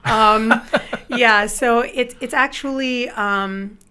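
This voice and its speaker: A young woman whose first language is Spanish, chatting casually as a podcast guest, upbeat and quick to laugh. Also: on the high side, sharp tone, clean tone. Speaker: guest musician.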